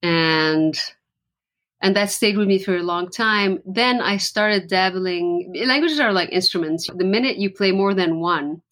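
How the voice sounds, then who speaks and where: A woman's voice: medium pitch, unhurried, a bit bright. A woman from Lebanon, a musician guest in an interview, talking in an earnest, reflective way with frequent restarts. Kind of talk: conversational interview.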